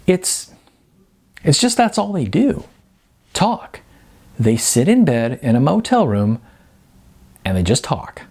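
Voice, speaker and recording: Man playing a young woman, high-pitched, husky, timid, stammering, apologetic, candid, audiobook dialogue. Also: big pitch swings, slow pace, long pauses, a little mellow, exaggerated voice. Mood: earnest, coaxing. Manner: hesitant, stammering. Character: Jasmine, timid young woman, apologetic, candid, eager to smooth things over. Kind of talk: audiobook character voice.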